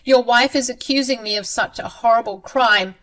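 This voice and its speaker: A woman voicing a scheming, self-pitying older mother-in-law in a high, shrill, pleading voice. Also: moderate pace, a bit bright, natural voice. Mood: indignant.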